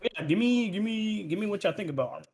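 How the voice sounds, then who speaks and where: Podcast host, man, animated pitch, slightly higher voice, loose profane chat. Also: fast, vocal fry, husky. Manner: loose and profane, lots of fillers and restarts. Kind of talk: casual gaming podcast chat.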